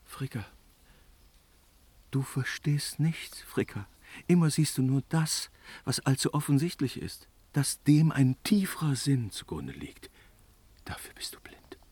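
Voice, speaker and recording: A man's voice: mid-range voice, expressive, a little slow, a little mellow, husky, no room sound. A male actor playing a proud, willful god in a fantasy radio drama, with a declamatory, commanding manner. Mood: exasperated. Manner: theatrical, declamatory. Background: music.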